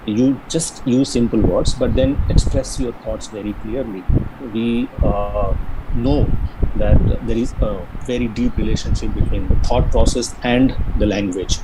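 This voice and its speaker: Low-voiced man with a Bihari accent. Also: steady tone, unhurried, background noise. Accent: Bihari-Jharkhand Indian English. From Jharkhand, India. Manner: earnest, explanatory, frequent restarts and repetitions. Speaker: career guidance speaker. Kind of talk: online lecture on interview skills.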